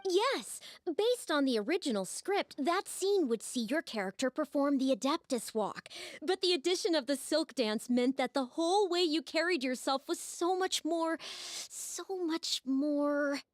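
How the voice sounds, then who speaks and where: An eager young reporter in a video game, very high in pitch and bouncing up and down. She stammers with starstruck enthusiasm in a dry recording. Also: animated, measured pace, hardly any pauses, dry recording. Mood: excited, flustered. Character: eager, starstruck, flustered young female journalist.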